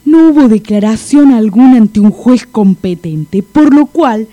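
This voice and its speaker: An actress playing a formal, by-the-book police sergeant in a comic radio drama. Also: female voice, a little high, lively intonation, measured pace, dark tone. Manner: formal, procedural.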